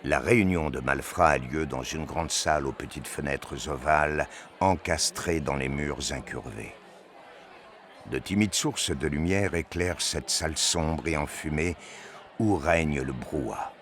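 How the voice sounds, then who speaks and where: Super low slow guy voice, dramatic narrator for a sci-fi audio story. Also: a bit bright, a little hiss or hum.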